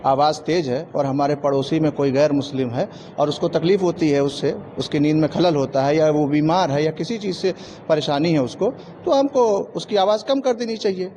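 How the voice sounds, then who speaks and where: A man's voice: fairly high pitch, brisk, hardly any pauses, warm tone, slight background noise. A man giving plain-spoken, explanatory interview answers and repeating his points. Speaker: interviewee, local resident.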